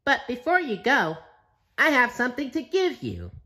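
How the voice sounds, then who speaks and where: Childlike cartoon sponge: very high, bouncy pitch, eager, sweet, earnest storybook character. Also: male voice, wildly swooping pitch, moderate pace, sharp tone, exaggerated voice. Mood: cheerful.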